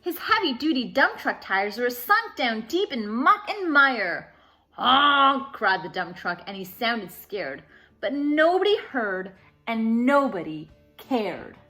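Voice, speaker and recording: A woman hosting a children's story time, reading a picture book aloud in an expressive, sing-song way. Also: on the high side, takes it slowly, soft background music.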